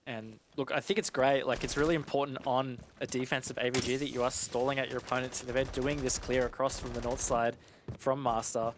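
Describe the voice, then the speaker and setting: A man giving analytical esports commentary in a conversational, explanatory way. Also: mid-range voice. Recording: noisy game gunfire and clicks behind.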